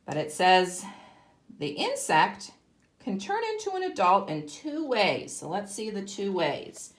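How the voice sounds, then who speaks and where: A woman speaks as a kindergarten teacher reading aloud to young children. Her pitch swings widely and expressively while her pace stays slow. She phrases things simply and deliberately, stressing key words and putting questions to the listener. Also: sing-song. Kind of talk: read-aloud lesson for young children, explaining and asking questions.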